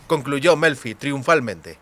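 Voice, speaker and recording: A man's voice: mid-range voice, slow, bright, forceful tone. A man narrating a fantasy novel as a scripted literary audiobook.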